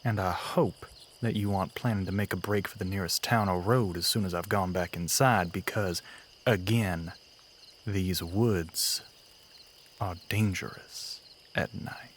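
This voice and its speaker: A man playing a courtly, softly menacing Southern vampire in an intimate audio roleplay, speaking softly and breathily, often near a whisper, with a Southern US accent. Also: deep voice, a little slow, a little hiss or hum. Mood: calm warning.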